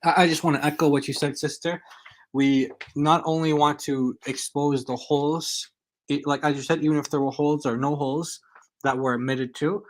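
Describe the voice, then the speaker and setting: A man's voice: fairly high pitch, steady tone, moderate pace. A man talking casually and off the cuff as a guest on a religious discussion show, often repeating and restarting his phrases.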